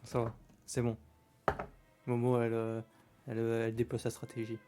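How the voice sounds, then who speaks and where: A man in his own voice at a middle pitch, closing out a tabletop game session. He laughs often and stumbles into restarts as he talks. Soft music plays faintly behind him. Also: completely flat delivery, moderate pace. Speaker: game master.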